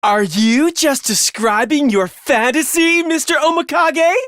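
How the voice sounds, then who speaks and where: A woman's voice: high voice, measured pace, a bit bright, dry recording. A woman playing a polite, prim young woman in a video game, speaking formally and a bit pointedly. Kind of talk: video game character voice acting.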